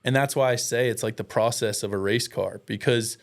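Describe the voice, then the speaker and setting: A man's voice: mid-range voice, steady tone, brisk, creaky. American man, mid-range voice, interview guest. Informal, earnest, self-deprecating storyteller. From United States. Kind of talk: casual, candid personal storytelling.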